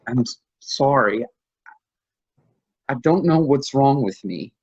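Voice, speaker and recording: Man acting a husky, halting, shaken husband. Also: mid-range voice, expressive, a little mellow, lo-fi sound. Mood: apologetic, pleading. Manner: halting, hesitant, repeating himself. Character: shaken, confused husband grieving a lost pregnancy. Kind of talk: staged play reading, naturalistic drama.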